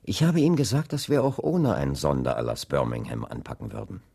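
A man's voice: sits low, big pitch swings, a little fast, vocal fry, dark tone, breathy edge, exaggerated voice. An actor playing a determined civil rights leader talking privately with his wife in a radio drama, emphatic and wry. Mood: frustrated, resolute.

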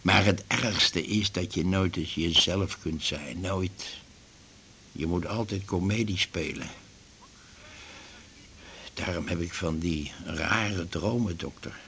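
A man's voice: sits low, slow pace, pauses often, pushed and bright, slight background noise, heavily acted. An actor playing an aggrieved, garrulous retired glue salesman in his fifties in a radio drama, rambling and grumbling. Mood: confiding. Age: 50s. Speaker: patient in a doctor's office. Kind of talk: naturalistic radio drama acting.

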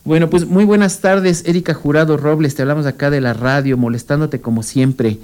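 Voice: mid-range voice, steady tone, brisk, a little mellow. A radio host interviewing a guest, asking long, encouraging, rambling questions.

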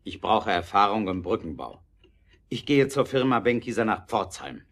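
A man acting an earnest, brooding, determined inventor in a German audio drama, with emphatic, deliberate delivery. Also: on the low side, very animated, a bit bright, full character voice. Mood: brusque, decided.

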